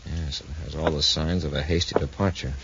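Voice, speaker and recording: An actor playing a steady, resolute space patrol commander with an authoritative manner in a 1950s radio adventure serial. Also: male voice, very deep voice, fairly quick, vocal fry. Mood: businesslike. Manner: authoritative, clipped orders. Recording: muffled, faintly noisy 1950s radio recording.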